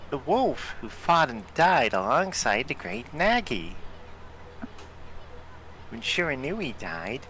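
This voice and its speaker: A man reads game dialogue with a bright, animated voice that swoops all over. He takes his time, with faint noise behind him. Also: medium pitch. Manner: playful, voicing a companion character. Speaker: let's play host. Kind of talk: reading game dialogue aloud with friends.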